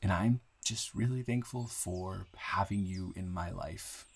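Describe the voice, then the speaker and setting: A man playing an earnest, grateful young man shyly confessing love to a friend in an intimate, halting, improvised audio roleplay. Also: deep voice, vocal fry, husky, exaggerated voice. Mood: tender, grateful. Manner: halting, rambling, earnest, breaking into soft laughs.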